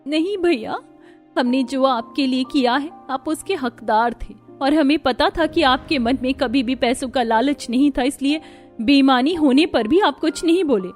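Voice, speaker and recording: A woman's voice: sits high, moderate pace, soft background music, natural voice. A woman voicing a tender, earnest, loving young sister in a cartoon story. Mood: admiring, earnest. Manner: tender, sincere. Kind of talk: Hindi cartoon story acting.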